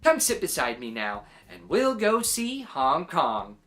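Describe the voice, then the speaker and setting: A woman voicing an eccentric, excitable storybook aunt who tells tall tales with dramatic emphasis. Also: deep voice, faint music behind, full character voice. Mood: pleased. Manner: dramatic, emphatic, drawn-out delivery. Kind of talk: storybook character voice for children.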